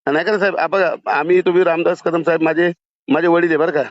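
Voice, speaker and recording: A man's voice: fairly high pitch, little pitch movement, quick pace. A man on a recorded phone call, insistent and pleading, often interjecting. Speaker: caller to a politician. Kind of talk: phone conversation.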